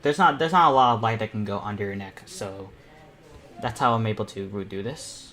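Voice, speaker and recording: A man's voice: lowish voice, fairly quick, a bit bright. A young man hosting a casual painting livestream, rambling and thinking aloud as he works. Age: teens to young adult. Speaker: livestream host and self-taught painter.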